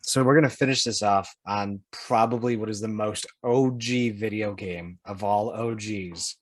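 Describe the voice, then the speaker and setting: A man co-hosting a podcast, talking casually and informally with a halting, searching delivery. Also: lowish voice, fairly even intonation, no room sound. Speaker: podcast host.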